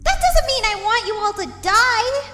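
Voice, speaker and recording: Woman as a high-voiced expressive young pony. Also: measured pace, full character voice. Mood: defiant. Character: earnest, expressive young female cartoon pony. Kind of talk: animated fan fiction audio drama acting. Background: music.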